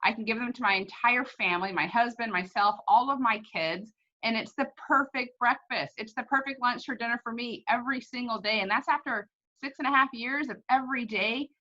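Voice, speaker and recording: A woman's voice: fairly high pitch, brisk, sharp tone, dull audio. A woman promoting products in a chatty, gushing, casual testimonial style.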